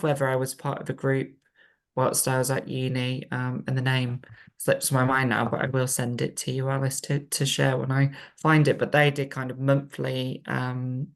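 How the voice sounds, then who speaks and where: Woman panellist, gravelly and even-toned, candid and personal. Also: rumbling low. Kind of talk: panel discussion. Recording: online panel recording.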